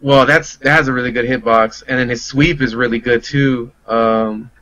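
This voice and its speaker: A man's voice: mid-range voice, steady tone, moderate pace, pushed and bright, no room sound, dull audio. A man talking casually and loosely about fighting games, in a slangy, rambling way. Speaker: guest player on a fighting game talk show. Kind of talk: casual game discussion.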